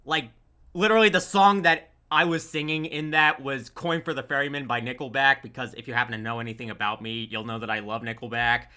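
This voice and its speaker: A man's voice: medium pitch, steady tone, brisk, pushed and bright. A man chatting casually about his creative work, rambling and often restarting his sentences. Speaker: audio drama voice actor and editor. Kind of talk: casual chat.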